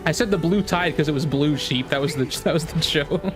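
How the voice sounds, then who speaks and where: A man giving casual, excitable, rambling reaction commentary on a video game. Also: fairly high pitch, brisk. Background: music from the game trailer.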